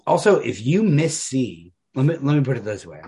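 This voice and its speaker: A man's voice: medium pitch, very animated, normal speed, dark tone, slightly rough. A man co-hosting a podcast, talking through a story casually and analytically in a rambling, emphatic way, full of "like". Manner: rambling, emphatic, peppers talk with "like". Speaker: podcast co-host.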